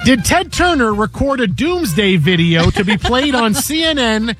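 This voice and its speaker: Male sports radio host, high-pitched, joking quiz-master, light music bed. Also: big pitch swings, moderate pace, fairly bright tone. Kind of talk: casual radio chat.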